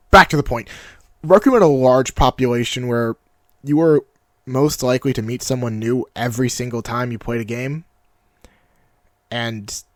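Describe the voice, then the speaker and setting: A young man with a deep, steady voice, looking back on a game in a casual vlog. He moves between nostalgia and self-mocking humor. The recording is close and dry, with no room sound. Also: no room sound. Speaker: YouTube creator. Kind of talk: reflective personal vlog narration.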